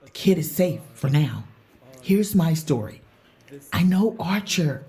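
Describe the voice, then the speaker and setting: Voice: mid-range voice, animated, slow, mellow. A player voicing a tired, troubled ship chief mate in a tabletop game. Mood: weary.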